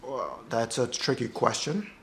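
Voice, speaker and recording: A man's voice: medium pitch, big pitch swings, measured pace, over a noisy crowd. A man from the United States hosting a casual interview, mostly giving short agreeing replies. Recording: echoey room.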